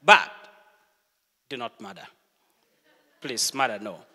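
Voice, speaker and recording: A middle-aged man from Uganda, preaching a sermon in a church and telling stories with earnest, deliberate pauses. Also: mid-range voice, lively intonation, pushed and bright, breathy edge. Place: church hall. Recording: long hall reverb.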